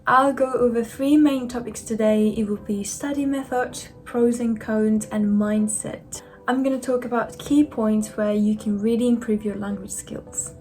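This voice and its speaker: A woman in her twenties from Japan, a native Japanese speaker with a Japanese accent, presenting a scripted explainer as a language vlogger in simple, deliberate, slightly non-native phrasing. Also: a little high, steady tone, a little mellow, soft background music. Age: 20s.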